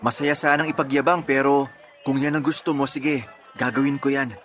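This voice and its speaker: A man's voice: mid-range voice, little pitch movement, moderate pace, fairly bright tone, soft background music, natural voice. A man acting a bashful, lovestruck young suitor. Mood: tender, devoted. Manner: stammering, flustered, eager. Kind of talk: Tagalog radio drama acting.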